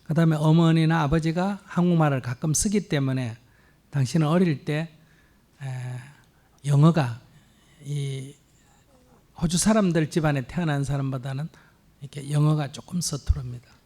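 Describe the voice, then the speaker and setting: A man's voice: on the high side, rapid-fire, long pauses, dark tone. A man, a Buddhist monk, patiently answering a question step by step with examples at a temple gathering. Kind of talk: dharma Q&A answer. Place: temple hall.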